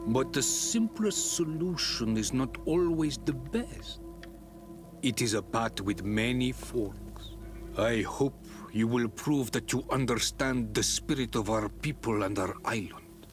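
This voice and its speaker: An actor playing a solemn, testing spiritual leader of an island people in a video game, speaking in a deliberate, ceremonious way. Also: male voice, medium pitch, over music, close to an everyday voice. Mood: solemn, measured. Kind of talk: video game dialogue acting.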